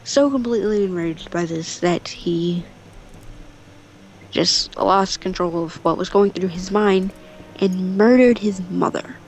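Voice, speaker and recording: A woman's voice: mid-range voice, a little slow, a little mellow, a little hiss or hum, low-quality recording. A woman telling a story casually and off the cuff, rambling, with offhand asides. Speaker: storyteller on a gaming channel.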